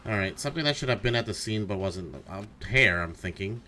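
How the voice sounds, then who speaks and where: A man's voice: on the low side, animated, bright, forceful tone, slight background noise. A man doing brash, sweary, casual commentary as he plays and reads out a game. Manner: brash, sweary, quick to laugh. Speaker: game streamer reading a character aloud.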